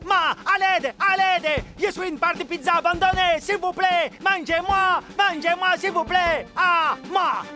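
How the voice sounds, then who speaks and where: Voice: extremely high pitch, a little slow, hardly any pauses. An actor voicing a melodramatic, pleading slice of pizza in a French cartoon. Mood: pleading, desperate. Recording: music behind the voice.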